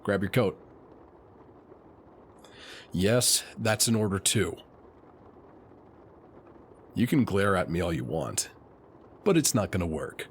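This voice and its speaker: A man playing a suave, teasing, flirtatious military officer and alchemist in an intimate roleplay audio, speaking smoothly. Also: low-pitched, animated, slight background noise. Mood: teasing, admiring. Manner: smooth, unhurried, playfully teasing.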